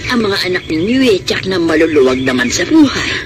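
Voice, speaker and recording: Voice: medium pitch, sing-song, brisk, natural voice. A performer playing an outspoken, nosy Filipino village friend in a classic radio drama, prodding and exclamatory. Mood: prying. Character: nosy, outspoken provincial woman friend, indignant on another's behalf. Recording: noisy outdoor ambience in radio drama.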